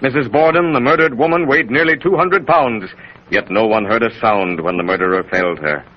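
A man acting as a solemn, ominous mystery narrator, dramatic and deliberate, in 1930s radio style. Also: mid-range voice, natural voice. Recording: muffled, noisy 1930s radio recording.

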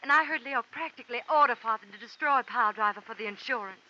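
A woman's voice: a little high, fast, bright, forceful tone. A woman playing an earnest, pleading young daughter in a 1940s radio drama. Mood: anxious, earnest. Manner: earnest, imploring. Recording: muffled vintage radio recording.

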